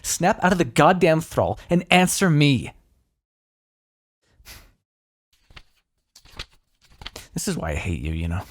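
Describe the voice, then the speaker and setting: A man's voice: fairly high pitch, sing-song, moderate pace, no room sound. A man playing a smug, teasing, grudgingly fond vampire in an intimate ASMR roleplay, talking in a sardonic, conversational way. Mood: frustrated, bitter.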